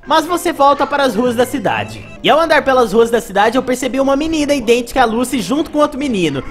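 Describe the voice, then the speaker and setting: A woman with a Brazilian accent narrating video game play as a reviewer, with music behind. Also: high voice. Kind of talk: gameplay commentary narration.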